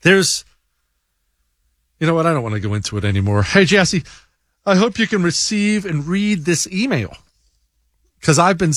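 A man's voice: mid-range voice, no room sound, clean studio sound. Blunt, combative male talk show host. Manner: blunt and combative, stammers on restarts. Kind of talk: opinionated talk show monologue, reading listener emails.

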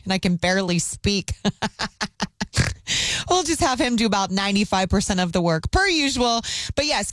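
Hoarse upbeat woman radio DJ. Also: mid-range voice, no echo. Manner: upbeat, chatty, laughs easily. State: hoarse, voice worn from screaming. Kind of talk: radio DJ talk.

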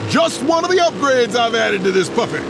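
A man's voice: very high voice, big pitch swings, a little fast, gravelly, exaggerated voice. An actor playing a proud, eager motorcycle rider showing off his upgrades in a radio ad. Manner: boastful, eager. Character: proud excitable motorcycle rider, grown man. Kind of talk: radio ad acting. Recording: motorcycle engine noise behind.

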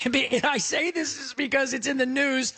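Middle-aged male tech host, rambling and animated, gravelly voice, dry close sound. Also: very high voice, fairly quick, dry recording. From Texas. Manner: animated, rambling, many restarts. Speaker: radio and TV tech show host. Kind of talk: fast animated radio talk.

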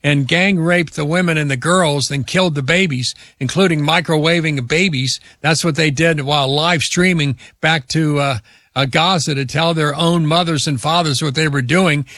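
A man hosting a talk radio show, interviewing a guest with emphatic, drawn-out questions. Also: a little high, fairly bright tone, no room sound, studio quality.

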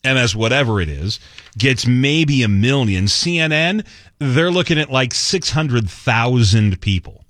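A man's voice: mid-range voice, lively intonation, unhurried, a bit bright, no room sound. A man hosting a conservative talk radio show, delivering a sarcastic monologue and mocking other outlets in put-on quotes, recorded on a studio mic.

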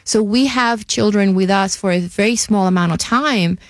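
A woman's voice: medium pitch, normal speed, dry recording, clean studio sound. A middle-aged woman with a Puerto Rican accent, speaking earnestly in an interview as a nonprofit leader, often repeating words as she goes. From Puerto Rico. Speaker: nonprofit CEO, former physician. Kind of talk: interview conversation.

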